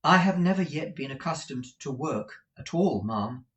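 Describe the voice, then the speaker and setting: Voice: mid-range voice, animated, moderate pace, a bit bright, lo-fi sound, pitched above the performer's own voice, close to an everyday voice. A reader voicing a timid, polite young woman who speaks meekly and with deference, in a storybook. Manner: meek, deferential.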